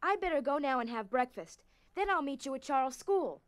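Woman as questioning young girl, earnest, stubborn, animated intonation, long reverb, audio drama. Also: high voice, a little fast. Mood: puzzled.

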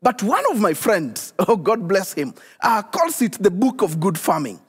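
A middle-aged man preaching a sermon as a pastor, animated and speaking directly to his viewers. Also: high voice, unhurried, breathy edge. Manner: animated, addresses viewers directly, repeats key questions. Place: church stage studio, indoors. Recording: long hall reverb.

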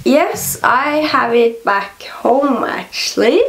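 A woman's voice: a little high, animated, slow pace, fairly bright tone, a little hiss or hum, exaggerated voice. A young woman with a Norwegian accent, a native Norwegian speaker, playing a chatty young woman friend in a skit, gushing and bubbly. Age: young adult.

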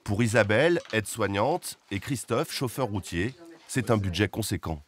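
Man with calm narrating voice. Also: on the low side, moderate pace. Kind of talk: scripted narration.

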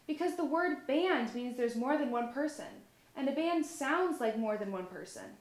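Lady doing a patient but firm pig mom from a kids book, highish voice, echoey. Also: animated, moderate pace. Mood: explaining. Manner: motherly, explaining, firm. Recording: roomy indoor echo.

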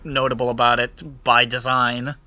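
A man's voice: medium pitch, level tone, moderate pace, pushed and bright, slight background noise. A man running a tabletop game, casually describing details in a dry, hesitant way. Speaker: game master. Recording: slightly dull audio, music behind. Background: background music.

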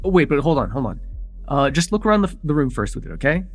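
A young man playing an excitable vampire in an immersive roleplay, casual and halting, insistent and teasing as he repeats himself. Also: a little high, soft background music. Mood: eager, flustered. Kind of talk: immersive ASMR roleplay acting.

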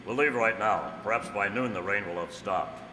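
Man acting a low-voiced masked cowboy hero. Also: moderate pace, close to an everyday voice. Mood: grave, thoughtful. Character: resolute masked cowboy hero, earnest and commanding. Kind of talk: old-time radio drama acting, read from script. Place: stage in a hall. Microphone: stand microphone. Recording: echoey hall, noisy room ambience.

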